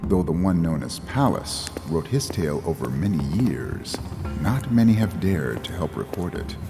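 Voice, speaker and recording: An actor playing a solemn robed head librarian in an audio drama, speaking in a formal, measured, ceremonious way. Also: male voice, sits low, big pitch swings. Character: tall bald solemn male head librarian in robes. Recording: long hall reverb, music underneath.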